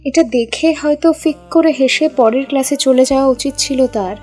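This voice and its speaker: A woman's voice: high-pitched, moderate pace, a little mellow. A woman reading a scripted audio story as its narrator. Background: background music.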